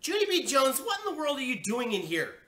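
A woman voicing an angry, exasperated mother who shouts and scolds her young daughter in a storybook reading. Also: high voice, huge pitch swings, fairly quick, roomy sound, voice pushed up, full character voice. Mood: furious, scolding.

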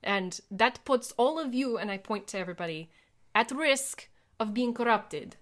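A woman's voice: a little high, lively intonation, normal speed, a bit bright. A woman playing a tabletop game, speaking conversationally in character. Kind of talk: conversational in-character role-play. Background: faint music.

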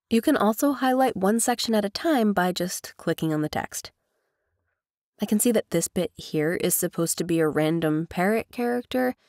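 A woman giving a casual, chatty software tutorial voice-over as host. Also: mid-range voice, dry recording, studio quality. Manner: informal, chatty. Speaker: tutorial host, professional narrator.

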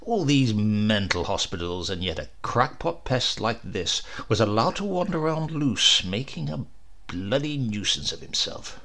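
A man's voice: lowish voice, very animated, a little slow, sharp tone, slight background noise. A man giving a dramatic narration of a horror story as an audiobook reader.

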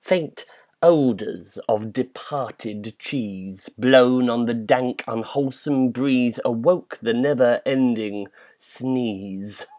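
Man, poetry reader, theatrical delivery, very unhurried pace, medium pitch. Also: a little mellow. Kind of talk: dramatic poetry recitation.

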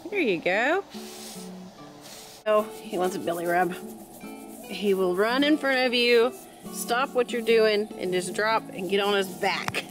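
A woman's voice: medium pitch, unhurried, pauses often. Woman, cheerful chatty garden tour, outdoors on a handheld phone, guitar music under it. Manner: chatty, cheerful, thinks aloud. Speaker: homesteader vlogger. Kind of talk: casual walking garden tour. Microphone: phone camera held while walking.

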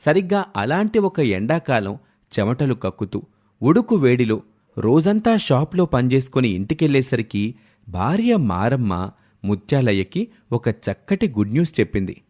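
A man narrating a scripted story as a storyteller, with expressive delivery. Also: mid-range voice, moderate pace.

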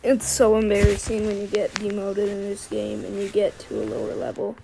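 Woman, quiet and scratchy, loose trailing-off game chatter; gravelly tone over a noisy recording. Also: medium pitch, fairly quick. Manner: quiet, soft-spoken, trailing off. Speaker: gaming video creator. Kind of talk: rambling casual commentary over gameplay.

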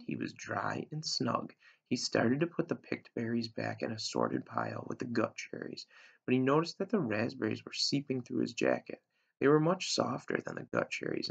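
A man in his thirties with a gravelly, husky voice, reading a storybook aloud like a teacher to a class. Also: medium pitch. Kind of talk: read-aloud storytelling narration. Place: small room indoors at home. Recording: dull, lo-fi webcam sound.

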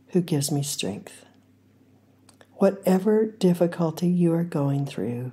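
Older lady host with a hoarse husky low voice, gentle earnest devotional talk, lots of pauses. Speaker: podcast host.

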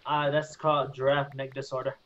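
A man's voice: medium pitch, monotone, brisk, highs cut off, crowd noise. A young man mocking his friends while they play a game, brash and foul-mouthed. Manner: brash, mocking, swears freely. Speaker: gamer in a group commentary. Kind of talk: casual gaming chat with friends.

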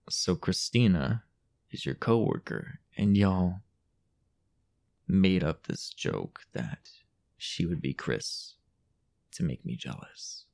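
Man acting deep, gravelly possessive boyfriend. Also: big pitch swings, darkish tone, full character voice. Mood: wary, impatient. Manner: halting, teasing, breathy half-whispered asides. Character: possessive, jealous, dominant young boyfriend. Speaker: audio roleplay voice actor. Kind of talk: improvised intimate boyfriend audio roleplay.

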